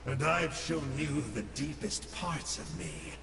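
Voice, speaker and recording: A man's voice: on the high side, very animated, takes it slowly, vocal fry, in a large hall, distant mic, background noise, heavily acted. An actor playing a sly, theatrical male Abyss scholar who talks in a glib, mocking way.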